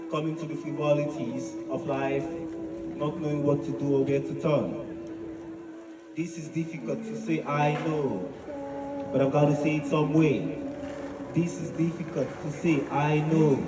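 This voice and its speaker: A man delivering a poetic dramatic monologue in a solemn, deliberate, declamatory manner with weighty pauses. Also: on the high side. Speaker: spoken word monologist. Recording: echoey, distant voice with music behind. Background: music.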